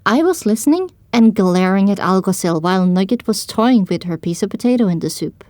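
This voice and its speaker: A woman's voice: medium pitch, expressive, brisk, darkish tone, dry recording. A woman narrating a scripted story in the first person as part of an audio drama.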